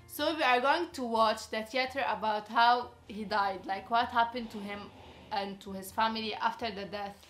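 Bright-voiced young Arabic-speaking woman. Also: fairly high pitch, moderate pace, soft background music. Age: young adult. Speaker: vlog guest. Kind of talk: casual chat. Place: bedroom, indoors.

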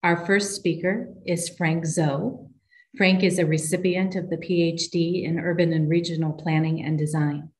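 A woman's voice: fairly low pitch, little pitch movement, normal speed, low-quality recording. A middle-aged woman dean hosting a virtual graduation, giving formal introductions of speakers. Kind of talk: ceremony introductions.

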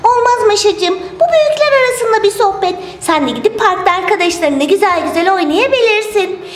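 A woman's voice: very high-pitched, expressive, brisk, slight background noise. A woman voicing a little doll girl in children's toy play, singsong, exclamatory and affectionate as she hosts her friends. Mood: cheerful. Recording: roomy sound with music behind. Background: music.

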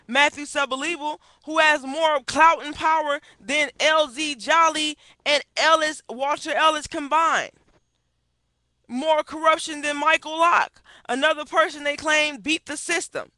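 A woman's voice: sits high, sharp tone, dry recording. A middle-aged woman giving impassioned, indignant commentary into a webcam headset in a small room, asking pointed rhetorical questions. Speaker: activist vlogger. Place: small wood-paneled room indoors.

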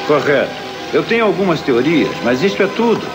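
A man's voice: on the high side, big pitch swings, a little fast, music in the background. A man playing a kindly, earnest scientist who explains things, in a Brazilian cartoon dub. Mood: worried. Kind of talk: dubbed cartoon acting.

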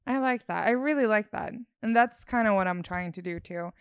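A woman's voice: medium pitch, brisk, clear, resonant tone, dry recording. A young woman from Sweden, co-hosting a casual couch chat with a handheld mic in a living room. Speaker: co-host.

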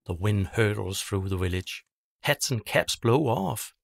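A man's voice: lowish voice, expressive, measured pace, creaky, no room sound, clean studio sound. A man narrating a scripted children's bedtime story, telling it simply in short phrases.

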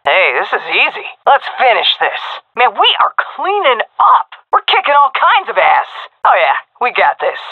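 A woman's voice: fairly high pitch, wildly swooping pitch, moderate pace, hardly any pauses, no echo. Cocky commando woman over tinny radio. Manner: brash, sardonic, clipped military callouts. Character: tough, cocky, foul-mouthed female navy commando commander. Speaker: video game military character, SEAL frogman commander. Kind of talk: game combat voice lines. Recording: narrow, phone-like radio comms.

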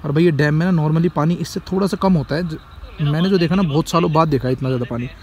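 A young man in his twenties, talking casually and excitedly to his phone camera on a road trip, from a car and by a lakeside. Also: a little high, quick pace. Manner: chatty, excitable, keeps saying "bhai". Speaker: vlogger. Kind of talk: casual travel vlog. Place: inside a car and outdoors by a dam. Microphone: phone camera at arm's length. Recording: phone recording with clear road and wind noise.

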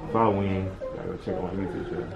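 A man's voice: deep voice, completely flat delivery, rapid-fire, vocal fry, highs cut off, heavy background noise. A young American man chatting casually and slangily, repeating his phrases, as he hosts a vlog challenge in public. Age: young adult. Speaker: vlogger running public challenges. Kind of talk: casual street banter. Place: clothing store and outside storefronts. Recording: loud music over vlog audio.

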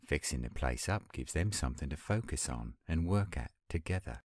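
A man acting an earnest young werewolf pack leader in a roleplay audio drama, speaking in a measured way. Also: very deep voice, expressive, darkish tone, no echo, clean studio sound, heavily acted. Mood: earnest, hopeful.

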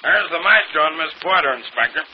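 An actor playing a rough, wisecracking police sergeant with slangy speech in a 1940s radio drama. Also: male voice, fairly high pitch, expressive, a little fast, natural voice. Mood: wry, slangy. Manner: slangy, colloquial. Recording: muffled, faintly noisy old radio broadcast.

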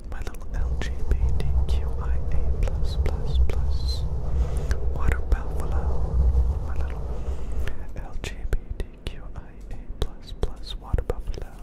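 A young adult man doing ASMR in a hushed whisper close to the mics, rambling and repeating his phrases. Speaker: ASMR performer. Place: small dim indoor room. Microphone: two close studio mics with foam covers.

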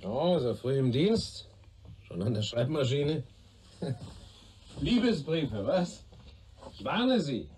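An actor playing a wry, chatty, grumbling middle-aged police superior in a radio crime play. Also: male voice, mid-range voice, big pitch swings, takes it slowly, pauses often, a little mellow, a little hiss or hum. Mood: teasing. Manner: jocular, rambling, grumbling. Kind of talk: German radio play dialogue.

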